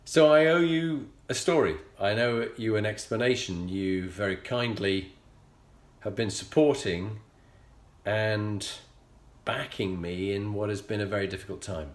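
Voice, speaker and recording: A middle-aged Yorkshireman speaks with a low voice, earnest and measured, pausing often as he tells a personal story. The recording has a noticeably echoey room sound around him. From England. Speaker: leadership coach and show host.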